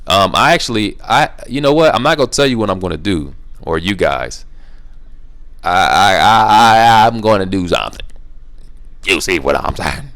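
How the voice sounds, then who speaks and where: A man's voice: deep voice, expressive, moderate pace, creaky, a bit bright, no room sound. A man hosting a casual solo Q&A video, rambling and restarting his sentences in a laid-back, playful way. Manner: rambling, repeats himself, restarts often. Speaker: YouTube Q&A host.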